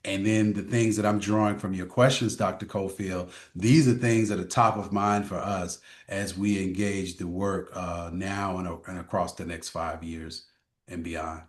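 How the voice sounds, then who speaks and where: Echoey lower-voiced American man, academic speaker. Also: fairly even intonation, a little slow. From Chicago, United States. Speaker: scholar and panel speaker. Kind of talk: academic panel talk, unscripted. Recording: roomy, echoing voice pickup.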